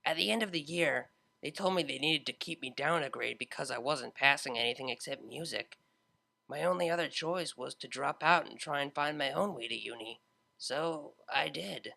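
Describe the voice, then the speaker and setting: A man's voice: fairly high pitch, moderate pace, gravelly, sharp tone. A man voicing a laid-back young female unicorn DJ, talking in a casual, slangy, self-mocking way. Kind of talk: fan audiobook character voice.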